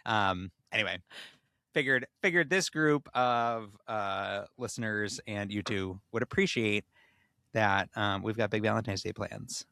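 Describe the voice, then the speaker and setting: A young man co-hosting a podcast in casual chat, with a playful, animated storytelling manner. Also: fairly low pitch, unhurried, gravelly, no room sound, studio quality. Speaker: podcast co-host.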